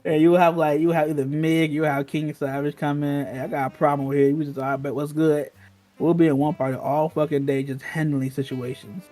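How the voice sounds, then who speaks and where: A man's voice: on the high side, little pitch movement, fairly quick, warm tone, soft background music. A man reminiscing in casual, slangy talk, emphatic and often repeating himself.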